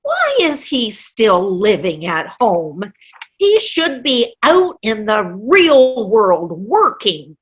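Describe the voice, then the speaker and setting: A woman's voice: fairly high pitch, wildly swooping pitch, slightly rough, heavily acted. A woman playing a querulous, sour old woman who complains about her pains in a comic play reading. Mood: scornful. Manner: querulous, slow, dramatic complaining. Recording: video call play reading.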